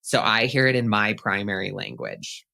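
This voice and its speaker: Bright-voiced chatty man, lowish pitch. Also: big pitch swings, moderate pace, gravelly. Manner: chatty and upbeat, casual swearing. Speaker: pet psychic guest. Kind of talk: casual podcast interview.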